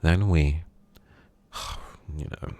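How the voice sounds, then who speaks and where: A man playing a menacing, smug kidnapper in an ASMR roleplay, speaking slowly and teasingly and dropping into whispers. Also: rumbling low, warm tone, no echo, full character voice. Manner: slow, teasing, drifting into whispers.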